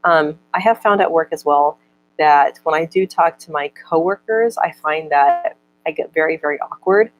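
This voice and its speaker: Young woman, low-pitched, stutters, repeats words, casual chat vlogger. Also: poor audio. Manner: reads out viewer comments slower. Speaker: vlogger answering viewer comments.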